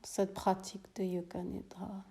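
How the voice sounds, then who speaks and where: A woman teaching yoga nidra, guiding a meditation in a soothing, hushed, breathy delivery that often drops to a near whisper, with long pauses. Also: mid-range voice, monotone. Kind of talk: guided meditation.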